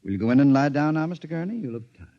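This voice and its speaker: An actor playing a calm, soothing psychiatrist talking a patient down, in 1950s radio drama style. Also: male voice, on the high side, racing, close to an everyday voice. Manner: soothing, patient, gently coaxing. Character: calm middle-aged male psychiatrist, soothing. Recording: dull old radio broadcast.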